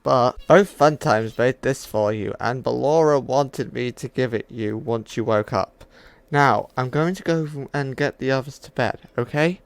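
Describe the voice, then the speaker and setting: A woman's voice: rumbling low, unhurried. A woman voicing a caring, protective robot guardian, reassuring yet firm, in a fan comic dub. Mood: concerned, firm.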